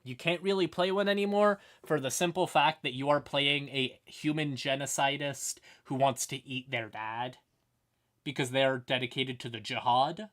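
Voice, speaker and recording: A man's voice: medium pitch, sharp tone. A man hosting a livestream and explaining fantasy lore in a casual, irreverent, sweary, conversational way. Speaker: livestream host.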